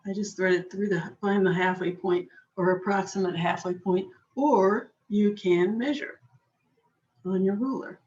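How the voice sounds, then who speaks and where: Slow, patient woman teaching a craft. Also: mid-range voice, fairly even intonation, darkish tone, highs cut off. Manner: patient, chatty, talks while working with her hands. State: slightly hoarse. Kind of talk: slow step-by-step craft demonstration.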